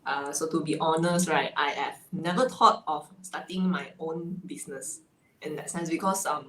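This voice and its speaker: A woman's voice: deep voice, fairly bright tone. A woman from Malaysia with a Malaysian English accent, chatting as an interview guest and laughing often between phrases. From Malaysia (Sarawak). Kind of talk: casual interview chat. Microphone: video call. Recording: echoey room, faint ambient noise.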